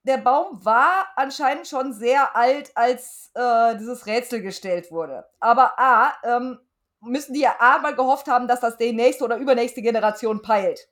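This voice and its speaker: A woman with a fairly high-pitched voice speaks as a co-host in a relaxed podcast conversation. Her way of talking is blunt and slangy, with no attempt at polish. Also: moderate pace. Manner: blunt, colloquial, salty-tongued. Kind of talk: casual podcast chat reviewing an audio drama.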